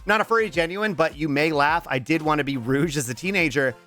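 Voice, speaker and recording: A man in his thirties streaming a game, chatting casually and joking with viewers, his voice strained and cracking from allergies, with long strings of drawn-out ums and plenty of swearing. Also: a little high, sharp tone, soft background music. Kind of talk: casual chat reading out viewer messages.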